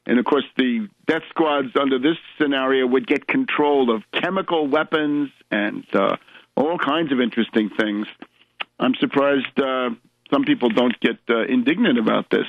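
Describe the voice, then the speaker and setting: A man's voice: mid-range voice, normal speed, no room sound. A man hosting a political radio show, delivering an opinionated monologue in a sardonic, emphatic way with rhetorical questions. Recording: dull lo-fi radio audio.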